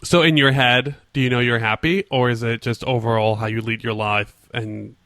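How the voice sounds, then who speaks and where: A man's voice: mid-range voice, fairly even intonation, normal speed, fairly bright tone, no room sound. A man hosting a podcast, asking curious follow-up questions with a few stumbles. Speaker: podcast host, interviewer. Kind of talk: casual interview.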